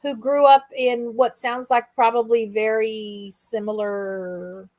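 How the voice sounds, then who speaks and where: Higher-voiced woman interviewer, dry sound. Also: level tone, takes it slowly, a little mellow, no room sound. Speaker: host, interviewer. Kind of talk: casual interview chat.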